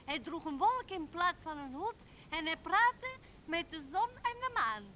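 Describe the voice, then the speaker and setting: Een vrouw speelt een dromerig, opschepperig klein meisje dat kinderlijk en zangerig vertelt in een hoorspel. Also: female voice, very animated, a little slow, bright, forceful tone, full character voice. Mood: dreamy. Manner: childlike, singsong, giggly. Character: dreamy, boastful little girl in love with a giant. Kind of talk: radio drama storytelling. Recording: noisy old radio recording with rumble.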